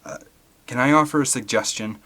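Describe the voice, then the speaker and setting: A male reader plays a pragmatic salesman father in an adventure audiobook. He is folksy and persuasive with an anxious edge, and his voice is bright and forceful. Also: medium pitch, brisk, pitched above the performer's own voice. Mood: tentative.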